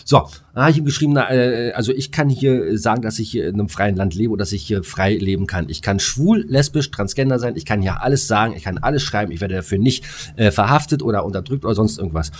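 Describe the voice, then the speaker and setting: A man co-hosts a casual podcast. He rants in crude, sweary slang. His delivery is agitated and halting, full of repeated starts. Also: fairly low pitch, animated. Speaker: podcast co-host. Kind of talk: casual ranting conversation.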